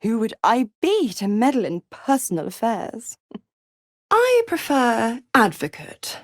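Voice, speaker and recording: Smug seductive she-devil for a game, slow n savoring, swoops all over, cruel and condescending. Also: fairly high pitch, huge pitch swings, no room sound, studio quality, full character voice. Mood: smug, teasing. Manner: taunting, condescending, savoring each word. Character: smug, seductive, menacing female devil, cruel mistress of pacts. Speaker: video game character voice. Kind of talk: theatrical game voice acting.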